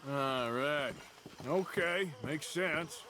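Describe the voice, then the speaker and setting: An actor playing a weary, skeptical but loyal American gunslinger in a video game, laconic and drawling. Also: male voice, on the high side, drawn-out words, low-quality recording. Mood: questioning, resigned. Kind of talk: dramatic video game cutscene acting.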